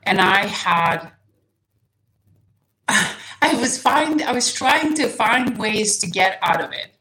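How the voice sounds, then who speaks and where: A woman from Serbia whose native language is Serbian, a podcast guest speaking in a casual interview, soft-spoken and hesitant, restarting often and laughing lightly. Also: medium pitch, expressive, takes it slowly, rough recording. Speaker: guest, nutrition coach.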